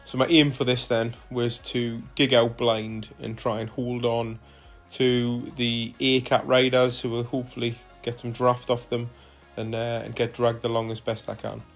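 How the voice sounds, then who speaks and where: Flat-voiced man narrating his rides. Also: mid-range voice, unhurried, few pauses, faint music behind. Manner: relaxed, hesitant with frequent fillers. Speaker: cycling vlogger. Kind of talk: casual voice-over narration of ride footage.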